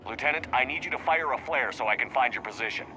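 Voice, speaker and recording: A man plays a resolute, terse military commander in a sci-fi game. His mid-pitched voice gives clipped orders with authority, over clear vehicle noise. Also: quick pace, close to an everyday voice. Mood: commanding, focused. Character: resolute human military commander leading a rescue. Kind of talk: video game voice acting.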